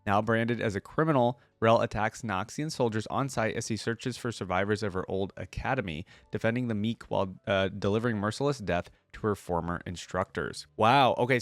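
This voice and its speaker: Low-voiced man hosting a reaction video. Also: normal speed, few pauses, no echo. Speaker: YouTube reaction host. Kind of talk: casual reaction commentary mixed with reading lore aloud.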